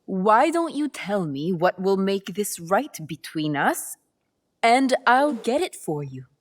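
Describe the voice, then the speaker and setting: A woman playing a poised, cunning, self-assured game character with a measured, unhurried way of talking. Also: mid-range voice, sing-song. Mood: cool, bargaining. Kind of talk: video game cutscene acting.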